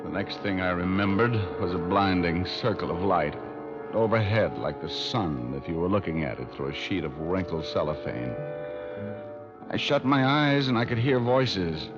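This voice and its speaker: Hard-boiled private eye, real low voice, tired and wry, muffled old radio recording w music. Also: male voice, lively intonation, moderate pace. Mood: grim, weary. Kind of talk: 1940s-50s radio detective acting, first-person narration.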